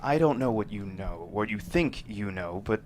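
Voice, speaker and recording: A man's voice: fairly low pitch, lively intonation, normal speed, noisy background, close to an everyday voice. An actor at a screenplay table read playing a menacing male killer who talks with polite, condescending civility. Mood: smooth, condescending.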